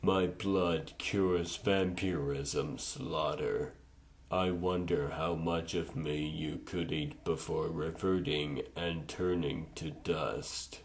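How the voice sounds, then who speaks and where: Comic-dub occult villain: male actor as soulless gentleman, extremely deep, slow, dry and courteous. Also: steady tone, full character voice. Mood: dry, composed. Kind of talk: comic dub character acting.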